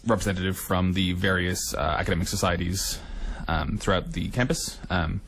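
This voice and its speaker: A man's voice: low voice, fairly even intonation, normal speed, a bit bright. A young man, a student leader, answering interview questions earnestly with many ums. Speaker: student union director-elect, guest.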